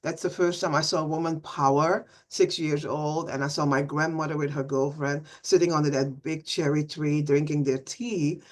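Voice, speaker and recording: Middle-aged woman, soft and reflective, laughs a lot, video call sound. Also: deep voice, fairly even intonation, moderate pace, few pauses. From Suriname. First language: Dutch. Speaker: webinar participant. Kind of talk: personal sharing on a video call.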